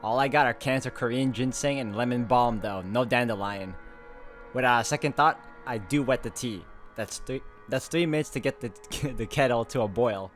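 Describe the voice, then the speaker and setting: A man hosting a game playthrough, reading visual novel narration aloud in an informal, expressive way with casual asides and the odd stumble. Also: medium pitch, moderate pace, soft background music. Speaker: gaming video host.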